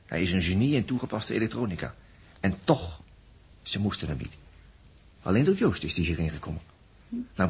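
A man with a Netherlands Dutch accent playing a sly, needling, flirtatious space crewman in a 1960s radio drama, speaking haltingly and repeating words. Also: low voice, breathy edge, heavily acted. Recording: muffled 1960s radio recording.

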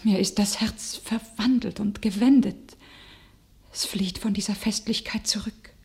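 An actress playing a young holy warrior maiden in a radio play, speaking solemn verse. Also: female voice, medium pitch, steady tone, gravelly. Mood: hushed. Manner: slow, solemn verse, hushed in soliloquy.

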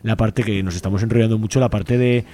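Spanish man, radio guest, low relaxed voice, very quick colloquial delivery. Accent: Spanish from Spain. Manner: enthusiastic, colloquial, rambling, often seeking agreement. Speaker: radio guest from a community library collective. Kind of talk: casual spoken radio interview.

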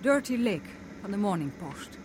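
A woman's voice: medium pitch, very animated, moderate pace, close to an everyday voice. Blunt, headstrong woman reporter played by an actress, noisy recording. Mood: cool, guarded. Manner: curt, challenging, emotional. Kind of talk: radio play acting. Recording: noisy radio play recording, car sounds. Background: car engine and road noise.

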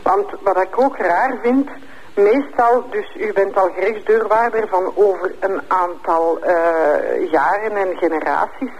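A woman with a Flemish accent, asking probing questions haltingly in the role of an interrogator. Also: medium pitch, slight background noise, poor audio.

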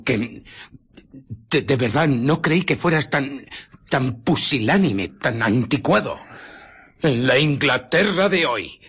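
An actor playing a ruthless, irritable fugitive scientist in a radio drama, husky and often dropping to a whisper as he recounts and justifies his deeds. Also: medium pitch, a bit bright, heavily acted. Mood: indignant, irritable. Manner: dramatic, brooding, self-justifying. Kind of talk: radio drama narration and dialogue.

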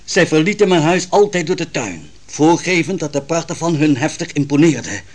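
A man's voice: on the high side, expressive, normal speed. A man acting a proud, troubled royal counsellor defending his wife in a Dutch radio play, formal and theatrical. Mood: proud, agitated.

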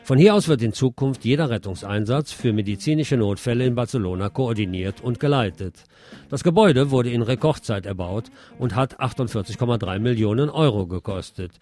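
A man reads the news as a radio presenter at a middling pitch. His delivery is scripted, with dry, wry asides worked into the reporting. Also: animated, a little fast, mellow.

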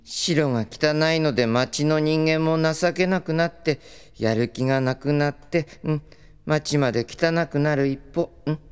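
A man plays a gentle young prince with a lightly high, very smooth voice. He sounds listless and mildly apologetic, in comedic audio drama style. His voice rings in a long hall reverb. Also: measured pace, natural voice. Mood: resigned.

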